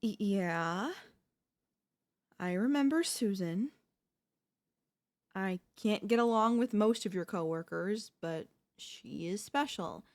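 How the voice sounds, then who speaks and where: A woman acts out a doting yandere wife in an ASMR roleplay. She speaks slowly at a medium pitch, tender and breathy, with hesitant stammers. Beneath the soothing care she is anxious and quietly controlling. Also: expressive. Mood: uneasy, probing. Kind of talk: ASMR audio roleplay, intimate acted monologue.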